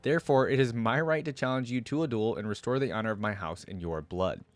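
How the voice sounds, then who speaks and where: A man's voice: mid-range voice, fast talker, no room sound, close to an everyday voice. Man reading as a formal, unyielding noblewoman duelist. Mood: formal, stern. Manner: formal, declarative. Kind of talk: dramatic story reading.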